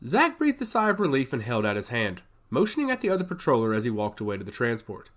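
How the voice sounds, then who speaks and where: A man narrates an action story with theatrical emphasis. His mid-range voice swings widely in pitch, giving the scripted prose a highly animated, dramatic shape. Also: fast talker.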